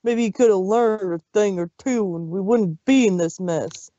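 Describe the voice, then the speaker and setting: Scornful farm colt, low and dark, as a woman puts him on. Muffled. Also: moderate pace, close to an everyday voice. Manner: harsh, scolding. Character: gruff young farm stallion, eldest brother.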